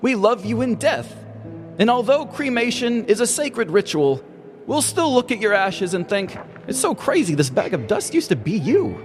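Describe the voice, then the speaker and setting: A man acts out a melodramatic, flippant villager in a comedic audio story. The voice sits very high for a man and moves around expressively. Faint music runs underneath. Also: big pitch swings, moderate pace. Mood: solemn.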